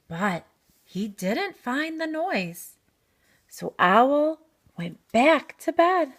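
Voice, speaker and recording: A woman narrates a children's storybook aloud. Her voice is fairly high and very animated, and she takes her time, giving an expressive reading at a slow pace. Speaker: storybook reader.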